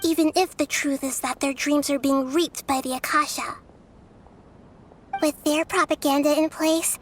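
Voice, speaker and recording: A woman voicing a small, gentle, wise young girl deity in a video game, speaking in measured, thoughtful, formal phrases. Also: sits high, slight background noise, natural voice. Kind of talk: scripted video game character dialogue.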